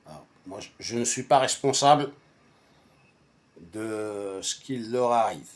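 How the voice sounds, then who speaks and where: Halting, animated man, native Arabic speaker. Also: on the low side. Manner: halting, repetitive, emphatic. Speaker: storyteller recounting a personal anecdote to friends. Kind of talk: casual spoken storytelling.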